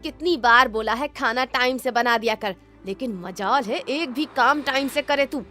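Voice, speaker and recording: Lady doing a mean stepmom in a kids cartoon story, high loud scolding, taunting, music behind. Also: moderate pace. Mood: angry scolding. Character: cruel, scolding, sarcastic stepmother. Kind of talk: animated moral story acting.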